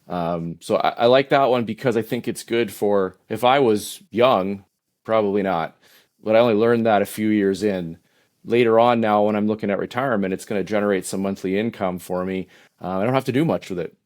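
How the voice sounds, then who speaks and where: Casting: Canadian man, fifties, low voice, easygoing, halting guest answers. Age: 50s. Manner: relaxed, hesitant, many "uh" and restarts. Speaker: podcast guest. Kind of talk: casual interview answers.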